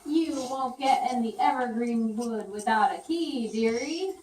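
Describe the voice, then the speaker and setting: A woman's voice: fairly high pitch, unhurried, a little mellow, voice pushed up, natural voice. A woman voicing a sly male rat map seller in a puppet story, pushing his wares in clipped, folksy patter. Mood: taunting. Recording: roomy, distant mic, faint noise.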